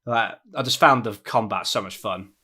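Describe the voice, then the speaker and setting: A man's voice: lowish voice, normal speed, sharp tone, husky. A man chatting casually on a gaming podcast in an enthusiastic, colloquial way. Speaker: podcast co-host.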